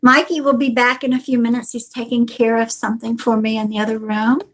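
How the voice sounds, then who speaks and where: A woman's voice: a little high, flat delivery, a little fast. A woman psychic greeting viewers one by one on a live stream, a little stuffy from a cold and calling people honey and sweetheart. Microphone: device on a table.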